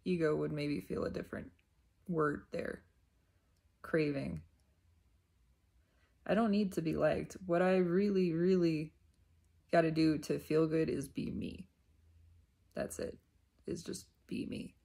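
A woman speaks in an unhurried, reflective monologue, as if thinking aloud. Her voice is husky with a lot of fry at the edges. She breaks her thoughts into short phrases and repeats them deliberately. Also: sits low, steady tone, mellow. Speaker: self-reflective spiritual vlogger. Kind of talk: unscripted reflective spiritual monologue.

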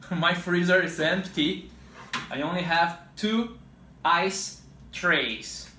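A man in his thirties teaching vocabulary, speaking deliberately with clear enunciation and repeating key words, recorded in a small home kitchen. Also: on the high side, sharp tone. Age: 30s. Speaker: English teacher. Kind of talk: vocabulary lesson, demonstrating words. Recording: echoey room sound.

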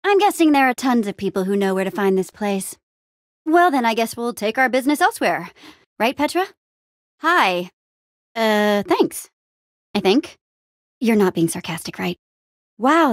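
A woman's voice: mid-range voice, animated, moderate pace, long pauses, dry recording, studio quality, close to an everyday voice. A woman playing an upbeat, plucky young female adventurer in a video game, with an eager, wry and casual way of talking. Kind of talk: animated adventure game dialogue.

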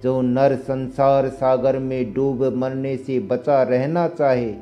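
A man giving a scripted, solemn and deliberate narration, as in a recital of verse and commentary. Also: medium pitch, little pitch movement, lo-fi sound. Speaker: narrator reciting Sanskrit verses and explaining them. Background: instrumental music.

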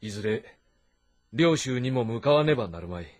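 An actor playing a shrewd middle-aged warlord of ancient China, husky and deliberate, in a historical video game. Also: male voice, mid-range voice, animated, faint music behind, lightly acted. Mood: pensive. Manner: authoritative, deliberate, lordly. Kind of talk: dramatic Japanese historical game voice acting.

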